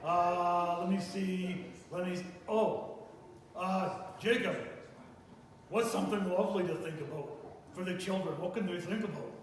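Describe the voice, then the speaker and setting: A man voicing an excitable, chatty puppet host for children, flustered and bantering, often repeating himself. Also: high-pitched, normal speed, natural voice. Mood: enthusiastic. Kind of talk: improvised church puppet show. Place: large church room with live children's audience. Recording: echoey, noisy hall, far from mic.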